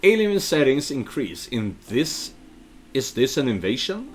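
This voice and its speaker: A man's voice: medium pitch, very animated, measured pace, faint music behind. A man giving casual let's play commentary, hesitant and thinking aloud as he reads game text. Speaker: video game let's player and dubber.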